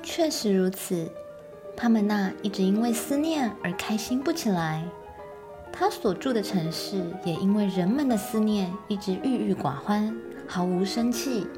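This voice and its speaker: A woman with a mid-pitched voice tells a children's story as narrator, reading from a script. Music plays clearly behind her. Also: expressive, measured pace.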